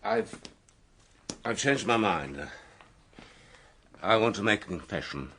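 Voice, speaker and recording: An actor playing a subdued, ashamed British husband in a radio drama, speaking in a halting, apologetic way. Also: male voice, lowish voice, expressive, fairly bright tone, a little hiss or hum. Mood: nervous, apologetic. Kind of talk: BBC radio drama acting.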